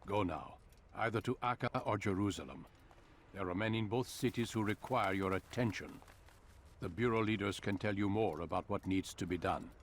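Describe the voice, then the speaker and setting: An actor playing a stern, commanding older master of assassins in a video game, speaking in a cold and measured way. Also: male voice, lowish voice, slight background noise, natural voice.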